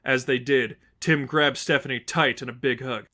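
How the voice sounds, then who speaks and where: A man's voice: medium pitch, fairly even intonation, normal speed, sharp tone. A man reading scripted fiction as a narrator, in a storytelling style.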